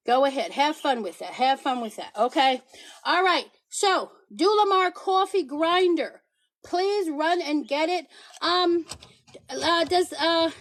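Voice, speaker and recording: A woman hosting a casual livestream, sassy and emphatic, pleading with and teasing her viewers while playfully switching accents. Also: sits high. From Paraguay. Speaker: livestream host promoting products.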